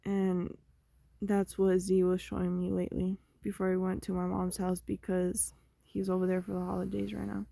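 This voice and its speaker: A young woman vlogging, talking through her thoughts in a slow, meandering, conversational way that often trails off. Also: fairly low pitch, completely flat delivery, vocal fry, dark tone, no room sound. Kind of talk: rambling personal monologue.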